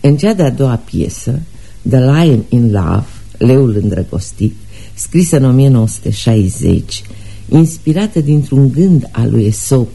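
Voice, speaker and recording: A man presents a literary programme with formal, measured delivery. His voice is very dark and mellow, gravelly and husky, like a scholar reading from a script. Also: medium pitch, animated, low-quality recording. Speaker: presenter introducing a radio play.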